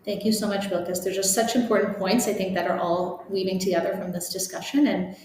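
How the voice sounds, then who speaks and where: A woman's voice: mid-range voice, little pitch movement, brisk, a little mellow. A woman moderating a panel, thanking the speakers and handing over the turn. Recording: very echoey room with faint noise.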